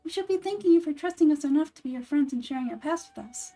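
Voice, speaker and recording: A woman voicing a small, chirpy, excitable childlike fairy companion in a video game, with a high squeaky voice. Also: fast talker, lightly acted. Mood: bright, eager. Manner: excitable, perky.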